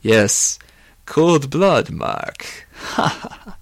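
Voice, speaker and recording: A man voicing an anguished, vengeful man who turns coldly unhinged, in halting dramatic outbursts for an audiobook. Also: mid-range voice, very noisy.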